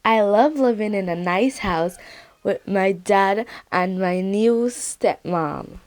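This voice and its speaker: A woman voicing a young girl who tells her own story in an expressive, scripted children's storybook narration. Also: mid-range voice, slow, no echo, close to an everyday voice. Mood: earnest, warm. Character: young Ghanaian-Canadian girl telling her festival story.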